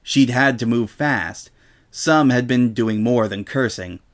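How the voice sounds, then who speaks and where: A man's voice: mid-range voice, takes it slowly. Man narrating a comedic story. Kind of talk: dramatic reading of comedic fiction.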